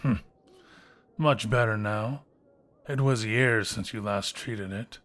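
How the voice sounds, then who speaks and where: A man's voice: fairly low pitch, slow, a bit bright. A man playing a smug, scheming adventurers' guildmaster in a fantasy audio drama, theatrical and taunting with mock courtesy. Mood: smug, amused.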